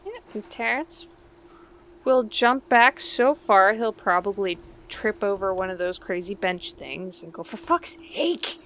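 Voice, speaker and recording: A woman's voice: medium pitch, a little slow, fairly bright tone. A woman playing a tabletop game, casually describing what her character does. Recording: faint noise, background ambience.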